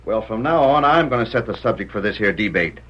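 An actor playing a stubborn, suspicious sheriff with folksy cowboy talk in an old radio Western. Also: male voice, lowish voice, lively intonation, fast talker, lightly acted. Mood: stern, distrustful. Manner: gruff cowboy drawl, folksy slang. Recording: muffled, hissy vintage radio recording.

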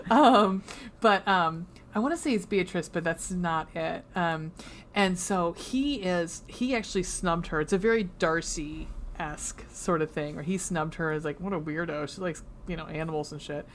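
Casual woman podcaster, deep for her sex, chatty and profane with lots of ums. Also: normal speed, a little hiss or hum. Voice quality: low voice. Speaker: podcast co-host. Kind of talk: casual chat.

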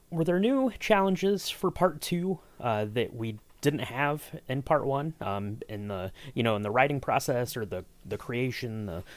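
A man's voice: medium pitch, no room sound. Man hosting a chat, close dry sound. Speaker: podcast host interviewing a writer. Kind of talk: casual behind-the-scenes chat.

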